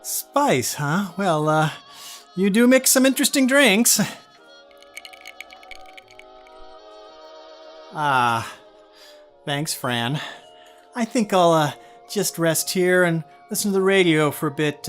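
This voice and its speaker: Radio drama: male actor as tired, irritable middle-aged salesman, slow delivery, pitch swinging widely. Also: lively intonation, a bit bright, soft background music. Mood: puzzled, polite. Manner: weary, sighing, hesitant. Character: weary, grumpy married insurance salesman. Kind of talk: radio play acting.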